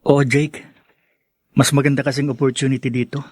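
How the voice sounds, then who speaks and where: A man's voice: medium pitch, level tone, normal speed, darkish tone, breathy edge, no room sound, clean studio sound, close to an everyday voice. A man with a Filipino accent playing a humble, bashful young working man in a radio drama, earnest and hesitant. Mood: cheerful, modest.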